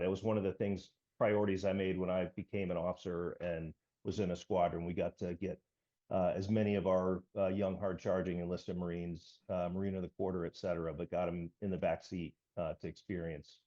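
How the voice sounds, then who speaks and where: An American man in his fifties, a podcast guest recounting his past in a genial, measured way with hesitant phrasing. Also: deep voice, warm tone. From United States. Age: 50s. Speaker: podcast guest, military veteran. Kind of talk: conversational interview, recounting. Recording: slightly dull, lo-fi audio.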